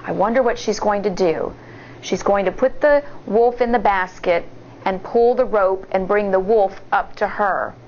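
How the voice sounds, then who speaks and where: A woman teacher reading a storybook aloud to children with deliberate, expressive delivery and pausing to comment. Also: medium pitch, dull audio, a little hiss or hum.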